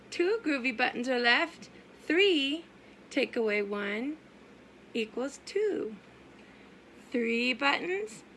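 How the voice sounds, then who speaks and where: High-voiced, slow woman reading to preschoolers. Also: gravelly, sharp tone, slight background noise. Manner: sing-song, exaggerated, asks the listeners questions and pauses. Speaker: preschool teacher reading aloud. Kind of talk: animated children's story time read-aloud.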